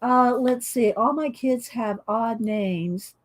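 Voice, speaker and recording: A talkative middle-aged woman whose loud voice carries, pitched a little high. She chats and rambles easily, laughing often, in a relaxed aside while she works. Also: slow. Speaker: coloring channel host. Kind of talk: casual chat while coloring on a livestream.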